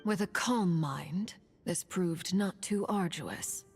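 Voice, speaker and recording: A woman voicing a calm, reflective female adventurer in a video game, serene and deliberate. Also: fairly low pitch, vocal fry, faint music behind. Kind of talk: video game character acting.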